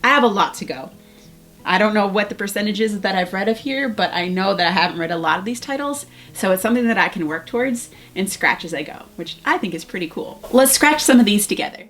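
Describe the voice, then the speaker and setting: A woman in her thirties hosts like a school librarian, presenting to students in a casual, lightly planned way. Her pitch sits in a middle range for a woman. Music plays clearly in the recording. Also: expressive, quick pace, fairly bright tone. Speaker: school librarian hosting a virtual library show.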